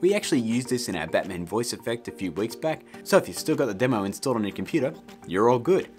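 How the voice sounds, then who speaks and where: A man's voice: mid-range voice, very animated, fast talker, a little mellow. A man in his thirties hosting a video tutorial in a casual, jokey style. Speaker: host of a video tutorial show. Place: small indoor studio room. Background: faint music.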